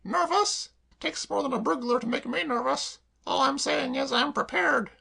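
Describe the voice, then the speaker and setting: A man voicing an excitable, melodramatic woman cook who frets about burglars. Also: very high-pitched, fairly quick, vocal fry, voice pushed up, full character voice. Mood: indignant.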